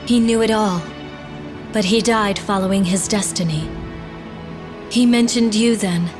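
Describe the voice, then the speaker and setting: A woman's voice: mid-range voice, steady tone, measured pace, music in the background, close to an everyday voice. A woman voicing an earnest, resolute young princess in a video game, with formal, solemn speech. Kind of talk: dramatic video game voice acting.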